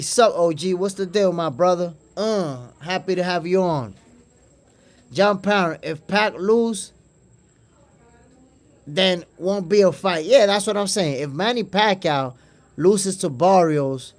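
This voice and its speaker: Boxing stream host: Dominican New Yorker, friendly and chatty, repeats himself, slightly high voice. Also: male voice, unhurried. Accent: New York. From Dominican Republic. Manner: chatty, calls viewers "my brother", repeats words. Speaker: boxing podcast host reading live chat. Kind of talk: casual livestream commentary.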